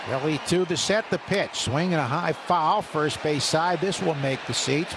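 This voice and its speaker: Excited baseball radio announcer, loud crowd. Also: male voice, moderate pace, noisy background. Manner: rising excitement on big plays, steady stat-reading between pitches. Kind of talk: live baseball radio play-by-play. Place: stadium broadcast booth.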